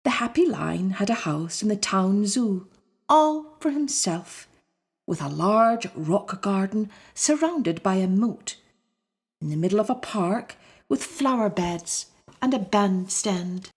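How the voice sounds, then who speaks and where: A woman reads a children's story aloud. Her pace is slow and deliberate. Her pitch moves widely, making the narration animated and expressive. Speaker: storybook reader.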